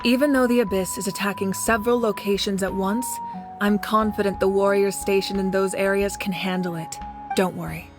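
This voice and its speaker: A woman acts a female warrior leader in a dramatic video game. She is composed and caring, speaking with measured authority at a middle pitch. Music plays clearly behind her earnest, resolute delivery. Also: lightly acted. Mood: reassuring.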